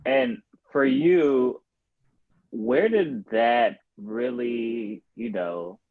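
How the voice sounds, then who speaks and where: A man's voice: medium pitch, big pitch swings, very slow, vocal fry, dry recording. A man hosting an interview over a video call, asking probing questions and often checking in with "right?". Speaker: interview host. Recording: tinny, dry phone-like call audio.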